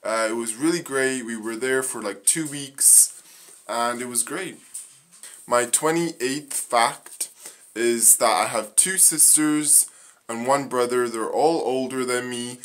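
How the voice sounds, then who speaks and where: A young man talks into a phone held at arm's length in a small living room. He moves slowly and haltingly through a list of facts about himself, filling gaps with ums and uhs. His voice sits at a middling pitch. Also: a bit bright. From Northern Ireland. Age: young adult. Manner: halting, frequent ums and uhs, slow and plain. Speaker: vlogger. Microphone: phone camera at arm's length.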